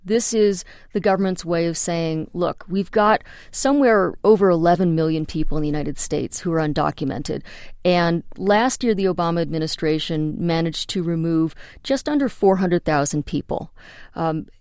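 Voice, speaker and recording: A woman with a lowish voice explains legal rules the way an attorney does, walking through practical examples. Her voice is recorded close and dry, with no room around it. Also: a little mellow, dry recording. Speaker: immigration attorney. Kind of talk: interview answers.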